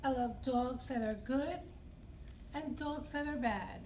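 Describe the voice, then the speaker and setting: A middle-aged woman speaks to young children as a story time host, with simple, expressive phrasing. Her voice is high and her pace unhurried. She is recorded indoors from a little way off, with noticeable background noise. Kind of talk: read-aloud and talk for young children. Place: indoor library children's room. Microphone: camera a few feet away. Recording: distant camera mic, clear background noise.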